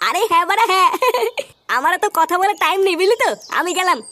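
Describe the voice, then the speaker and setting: A man voicing a cocky, whiny, foul-mouthed young cartoon slacker with a pitched-up voice. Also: fast talker, pushed and bright, slight background noise. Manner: whiny, brash, swearing. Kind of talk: comic cartoon dialogue.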